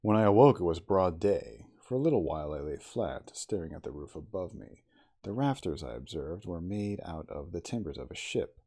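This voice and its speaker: A man reading a classic novel aloud as ASMR, in a soft, intimate, slow dramatic storytelling manner. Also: low voice, expressive. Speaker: audiobook reader in character as the narrator.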